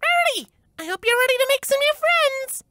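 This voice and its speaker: A man voicing an energetic, bubbly young mare in a high, bouncy voice. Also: brisk, slight background noise, exaggerated voice. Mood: excited.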